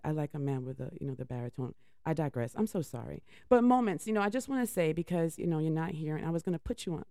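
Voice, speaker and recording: Middle-aged lady radio host, deep voice, rambly and chatty, dry close mic. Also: vocal fry, warm tone, dry recording. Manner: chatty, laughing, rambling with restarts. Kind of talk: casual radio talk.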